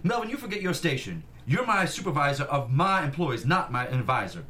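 A man's voice: on the high side, sing-song, a little fast, bright, forceful tone. A man playing a stern, domineering 1890s industrialist in a period drama table read, pompous and oratorical. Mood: stern, cutting. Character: stern, domineering 1890s industrialist boss, grandly self-righteous. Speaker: actor.

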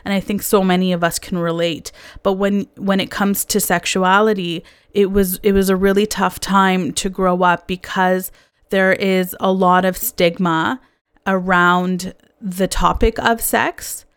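A woman's voice: medium pitch, little pitch movement, unhurried, clear, resonant tone, dry recording. A woman from Canada, hosting a podcast and telling her own story in an earnest, candid, confessional monologue. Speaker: podcast host. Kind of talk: personal reflective monologue.

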